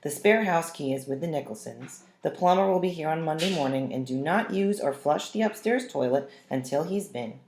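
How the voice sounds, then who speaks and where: A woman's voice: sits low, lively intonation, normal speed, hardly any pauses, darkish tone, slight background noise. A woman voicing an organized, suspicious mother in a storybook, listing instructions firmly and emphatically. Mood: brisk, suspicious. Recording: roomy, echoing room sound.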